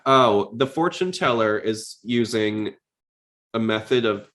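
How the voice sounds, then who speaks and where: A man with a fairly low-pitched voice hosts a casual podcast. He talks in an informal, conversational style, as in a relaxed discussion between fans. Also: takes it slowly. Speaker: podcast host. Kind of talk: casual podcast chat about anime.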